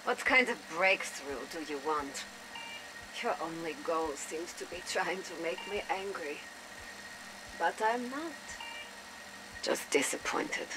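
A woman's voice: lowish voice, animated, slow, long pauses, noisy background. An actress playing a defiant, weary young woman held captive in a video game, guarded in the way she talks. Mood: bitter sarcasm. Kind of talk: dramatic video game voice acting.

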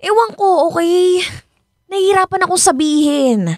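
High-voiced, hurt Filipino married woman. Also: normal speed, a little mellow, no room sound, clean studio sound. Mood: troubled. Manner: emotional, pleading. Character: hurt married woman seeking closure from her ex. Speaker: radio drama actress. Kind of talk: Filipino radio drama acting.

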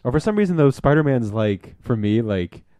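A man's voice: medium pitch, expressive, normal speed, mellow, no echo. A man on a casual film commentary, rambling enthusiastically and swearing casually. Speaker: podcast co-host.

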